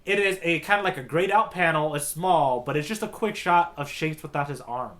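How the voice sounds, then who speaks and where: A man co-hosting a podcast in casual, slangy chat, with an excitable manner. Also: on the high side, fairly quick. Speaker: podcast co-host.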